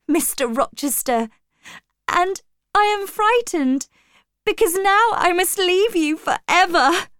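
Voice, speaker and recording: A woman's voice: sits high, a little mellow, no room sound, studio quality, pitched above the performer's own voice, heavily acted. A woman voicing an earnest young governess, polite and pleading but strong-willed, in a storybook reading.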